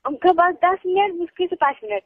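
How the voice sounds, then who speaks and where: A woman with a high voice speaks over a thin telephone line. She chats casually in short, plain replies. The sound is dry and tinny. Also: animated, a little fast, dry recording. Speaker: person on a phone call. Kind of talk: casual phone conversation. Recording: tinny phone-line call recording.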